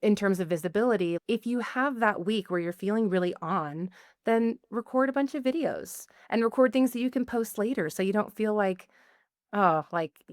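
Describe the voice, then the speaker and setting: Podcast guest, woman with a medium-pitched voice, loose and chatty, lots of fillers. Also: expressive, moderate pace, no echo, clean studio sound. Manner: chatty, lots of likes and you-knows. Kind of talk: casual interview chat.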